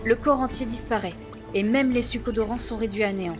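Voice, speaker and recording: A woman playing a proud, solemn female chief of a primitive village clan in a fantasy audio drama, speaking in formal, measured phrases. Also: a little high, lightly acted. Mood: stately, explaining. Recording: voice under clear background music.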